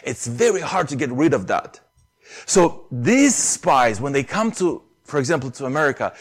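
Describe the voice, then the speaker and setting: A man with a Romanian accent preaching a sermon earnestly, pressing hard on key phrases. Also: medium pitch. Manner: earnest, rises to emphatic stresses on key phrases.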